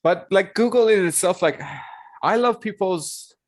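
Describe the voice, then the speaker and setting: A man chatting casually as a podcast guest, in a loose, halting way peppered with "like". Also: sits high, no room sound. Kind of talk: casual chat.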